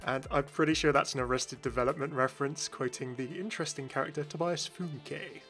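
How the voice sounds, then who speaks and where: A man's voice: mid-range voice, fairly even intonation, brisk, a bit bright, breathy edge. A man hosting informal scripted video game commentary. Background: faint background music.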